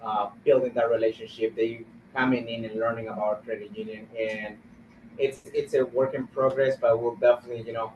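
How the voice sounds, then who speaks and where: Halting man, roomy distant sound. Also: medium pitch, level tone. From Guatemala. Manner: halting, searching for words. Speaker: community organizer on a panel. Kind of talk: informal webinar talk. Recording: roomy, distant, muffled webinar audio with noise.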